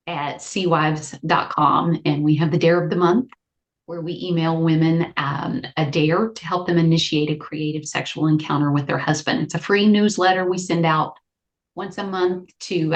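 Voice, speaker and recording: A woman clinical psychologist, a guest on a podcast, explaining her ideas in a lively, conversational way. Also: sits low, normal speed. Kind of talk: conversational interview.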